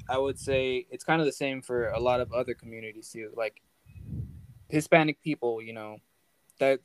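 A man's voice: mid-range voice, darkish tone, smooth, no room sound, rough recording. A man hosting a casual podcast, talking loosely and rambling, with many "like"s. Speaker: podcast host.

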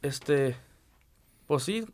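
A man's voice: on the high side, lively intonation, very slow, darkish tone. A man in his early twenties from the United States, chatting casually as an interview guest. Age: early 20s. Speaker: accordion player, interview guest. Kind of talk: casual chat.

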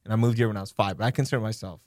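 A young man, born in Pakistan, hosting a casual podcast chat, telling candid, animated stories with some swearing. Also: fairly low pitch, racing, no room sound, clean studio sound. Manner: candid, animated storytelling with profanity. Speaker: podcast host.

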